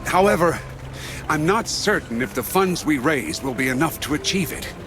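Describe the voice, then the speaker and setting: An actor playing a worried, courteous town official in a medieval fantasy game, speaking in a formal, wistful manner. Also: male voice, a little high, expressive, moderate pace, over music, close to an everyday voice. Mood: courteous, earnest. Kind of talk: video game voice acting.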